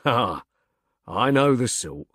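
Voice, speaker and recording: A man's voice: medium pitch, sing-song, slow, fairly bright tone, no room sound, heavily acted. A sly private detective from an audiobook tale, put on by a man. He is flirtatious and slangy, with a knowing leer in his delivery and a dry, close sound.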